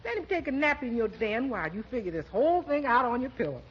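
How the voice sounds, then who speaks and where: Folksy sassy cook, high bouncy voice, old radio comedy, muffled with some hiss. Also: female voice, sing-song, fast talker, slightly rough. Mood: drowsy, candid. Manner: folksy, comic malapropisms. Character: sassy, good-natured household cook. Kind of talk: 1940s radio sitcom acting. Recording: muffled, hissy 1940s radio recording.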